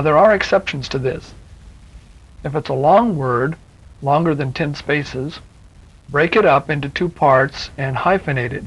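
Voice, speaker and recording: A man's voice: a little high, breathy edge, rough recording. A man giving a patient, encouraging spoken tutorial as an instructor, explaining each step plainly on a 1970s educational cassette.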